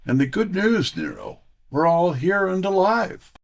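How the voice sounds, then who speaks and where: An actor playing a wry, breezy detective's assistant in an audio drama, with a theatrical, wisecracking delivery. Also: male voice, high voice, huge pitch swings, a little slow, gravelly, exaggerated voice. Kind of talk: full-cast audio drama, detective narration.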